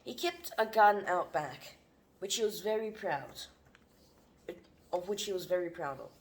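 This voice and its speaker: A woman's voice: fairly low pitch, animated, normal speed. A woman from Vietnam reading a book aloud at home, as a mother does for a bedtime story. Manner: corrects and prompts a young reader. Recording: noisy home recording with clicks.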